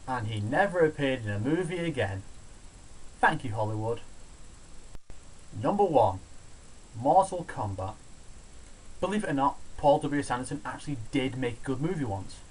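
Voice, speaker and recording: A man's voice: mid-range voice, lively intonation, moderate pace, long pauses, darkish tone, slightly rough, low-quality recording. A man hosting a scripted film countdown review, talking in a casual, opinionated, sweary way. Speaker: host of a video review show. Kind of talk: scripted countdown commentary on films.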